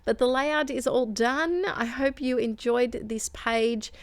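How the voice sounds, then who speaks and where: A woman's voice: on the high side, slow pace, no echo. An Australian woman chatting through a scrapbooking process video in a voice-over, rambling with asides and corrections while losing her voice. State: losing her voice, throat sore. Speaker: scrapbooking channel host. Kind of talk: voice-over craft process narration.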